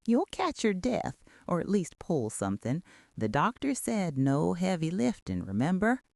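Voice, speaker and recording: A woman's voice: on the low side, huge pitch swings, unhurried, vocal fry, darkish tone, dry recording, studio quality, exaggerated voice. A woman playing a firm older wife who scolds, teases and coaxes in a comic radio skit. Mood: coaxing, teasing. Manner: scolding yet coaxing. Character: older wife, firm, coaxing, gently teasing.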